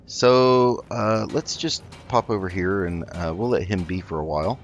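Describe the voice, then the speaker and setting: A man giving casual, in-character gameplay commentary, politely and hesitantly, rambling along with many pauses. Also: sits low. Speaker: game roleplay video host. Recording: dull lo-fi mic with background noise.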